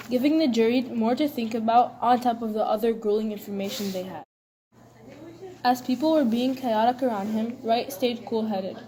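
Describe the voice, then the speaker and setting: Higher-pitched, soft-toned woman reading news. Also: rough recording. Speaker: news co-presenter. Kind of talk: scripted news report, read aloud.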